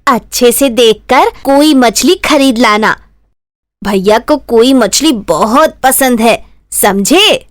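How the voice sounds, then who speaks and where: A woman's voice: fairly high pitch, expressive, slow pace, no room sound, studio quality. A woman voicing a doting, protective village mother in a Hindi cartoon story, pleading and insistent. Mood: persuading.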